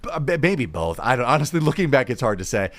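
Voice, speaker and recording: An American man chatting casually on a comedy podcast. His pitch swings widely as he tells stories and acts out the remarks, with some offhand swearing thrown in. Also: big pitch swings, fast talker. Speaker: podcast co-host.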